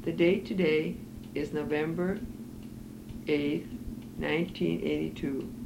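A woman's voice: low voice, fairly even intonation, slow pace, gravelly. A woman conducting an oral history interview in an apartment, patiently prompting and repeating her questions. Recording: hissy 1980s cassette tape.

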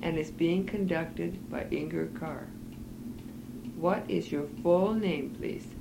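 A woman's voice: on the low side, takes it slowly, gravelly. A woman conducting an oral history interview in an apartment, patiently prompting and repeating her questions. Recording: hissy 1980s cassette tape.

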